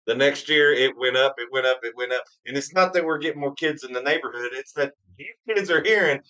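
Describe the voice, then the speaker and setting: A man's voice: mid-range voice, fairly quick, bright, forceful tone, lo-fi sound. A man hosting a bourbon tasting live stream, chatting eagerly and rambling through his stories. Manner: enthusiastic, rambling storyteller. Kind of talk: casual live-stream banter.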